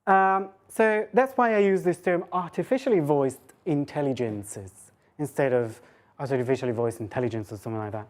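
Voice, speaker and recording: A woman's voice: sits low, darkish tone. A woman giving an informal, conversational academic lecture, thinking aloud with hesitations and rhetorical questions.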